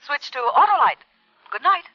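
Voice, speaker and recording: A woman's voice: high voice, sing-song, moderate pace. A woman reading a 1950s radio advertisement on an old broadcast recording. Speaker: advertising announcer. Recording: dull, noisy vintage radio recording.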